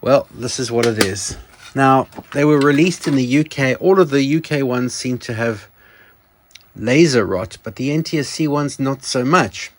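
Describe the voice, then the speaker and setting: A man's voice: medium pitch, moderate pace, a little hiss or hum. Man in a rambling gadget show-and-tell. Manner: rambling, self-correcting, informal. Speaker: presenter of a vintage electronics channel. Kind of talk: casual product show-and-tell.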